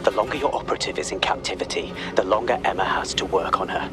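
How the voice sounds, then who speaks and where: An actor playing a stern rogue intelligence agent in a video game, terse and guarded. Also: male voice, sits low, fast talker, music in the background, lightly acted. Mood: businesslike.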